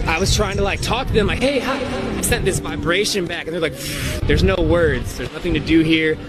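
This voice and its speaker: Man, slightly high voice, awed, dreamy storytelling, loud music behind. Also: a little fast. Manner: wondering, awed, uses "like" often. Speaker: storyteller of a spiritual experience. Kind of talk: personal story.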